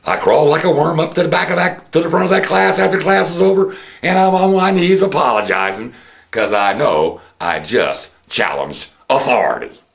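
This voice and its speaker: An American man giving an unscripted political monologue, theatrical in manner, with rhetorical questions and drawn-out emphasis. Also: on the high side, lively intonation, gravelly. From United States. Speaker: host of a political commentary show.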